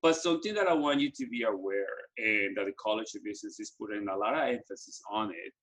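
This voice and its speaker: Earnest Ecuadorian-born man, halting delivery. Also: on the low side, a bit bright. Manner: halting, frequent restarts and fillers, earnest. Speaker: business school program staff presenting study abroad. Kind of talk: presentation to students with slides.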